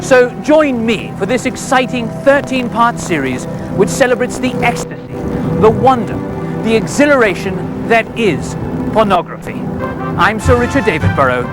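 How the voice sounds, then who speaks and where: Pompous, suavely depraved knighted presenter, mock documentary, very animated delivery with music behind. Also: male voice, very high voice, normal speed, rough recording. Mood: grandiose. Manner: pompous, grandiose.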